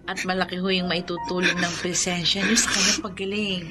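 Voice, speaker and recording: A woman's voice: fairly low pitch, level tone, brisk, music in the background, natural voice. A woman acting a caring young helper in a Filipino radio drama, earnest and respectful, with a Filipino accent.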